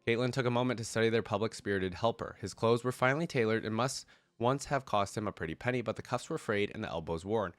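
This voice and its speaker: A man with a fairly low voice, stuffed up from a cold, reads lore aloud and comments on it informally. He thinks out loud and talks straight to his viewers. The recording is dry, with hardly any room sound. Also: quick pace, dry recording, soft background music. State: congested with a cold. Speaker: reactor reading game lore aloud and commenting. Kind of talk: casual reaction commentary mixed with story reading.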